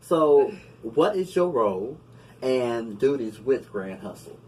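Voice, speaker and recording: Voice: mid-range voice, sing-song, slow pace, darkish tone. Friendly interview host, distant mic. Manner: friendly, playful, lots of affirming mm-hmms. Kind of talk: casual interview. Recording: distant mic with light background noise.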